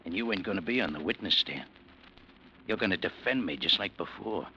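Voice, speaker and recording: An actor playing a menacing male gangster boss who threatens quietly in a 1940s radio drama, on a muffled old recording. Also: low-pitched, lively intonation, fairly quick, slight background noise, full character voice.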